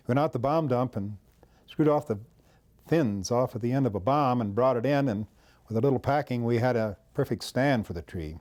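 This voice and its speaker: An elderly American man with a soft, mellow voice recounts his wartime service. He speaks plainly and in a measured way, like a veteran going through old memories and photographs. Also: mid-range voice. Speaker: war veteran, former air traffic controller.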